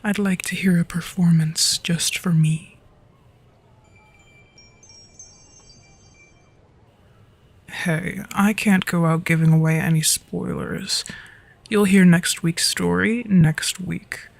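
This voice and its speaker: A performer of unclear sex voicing a flirty, easily flustered dragon storyteller in an ASMR roleplay, softly and breathily, often slipping into whispers. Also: mid-range voice, fairly even intonation, a little slow, creaky, no echo. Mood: playful, teasing.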